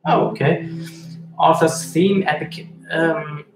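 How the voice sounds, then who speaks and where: A man reads out viewer messages and answers them, slowly and with plenty of pauses and restarts. His voice sits a touch high. The room is echoey, with a faint hiss underneath. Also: steady tone, over music. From Switzerland. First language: Swiss German and Italian. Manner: halting, many fillers and restarts, reads chat aloud. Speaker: live-stream host reading chat. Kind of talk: casual live-stream chat.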